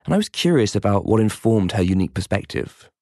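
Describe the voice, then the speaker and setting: A man's voice: lowish voice, big pitch swings, quick pace, mellow, no echo, clean studio sound. A man hosting and narrating a documentary podcast in a scripted storytelling style. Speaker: host and narrator.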